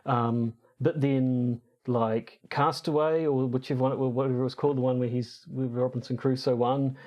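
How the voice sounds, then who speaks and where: A man's voice: medium pitch, fairly even intonation, moderate pace, dull audio. A man co-hosting a podcast in casual, bantering chat, with dry, self-deprecating humour. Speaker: podcast co-host. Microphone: lapel mic.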